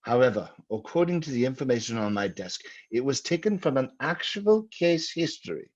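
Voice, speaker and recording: Grave occult scholar for vintage radio horror: husky, fretful, deliberate, on a dull lo-fi recording. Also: male voice, medium pitch, very animated. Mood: solemn. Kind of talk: old-time radio drama acting. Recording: muffled old radio recording.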